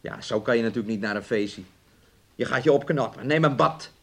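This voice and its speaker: A man's voice: medium pitch, fairly quick, slight background noise, close to an everyday voice. An actor playing a domineering, self-absorbed man in a radio play, nagging and coaxing his sick lover and calling himself by name. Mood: condescending, coaxing. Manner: talks of himself in the third person, nagging and coaxing. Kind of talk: Dutch radio play acting.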